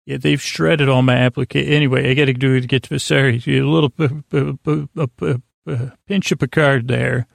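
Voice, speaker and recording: A man delivers a meandering bedtime monologue as a podcast host. His voice is middling in pitch and full of creak, recorded very dry. He stammers and restarts often, drifting from one half-finished thought to the next. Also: steady tone, no room sound. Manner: halting, stammering, meandering with repeated false starts.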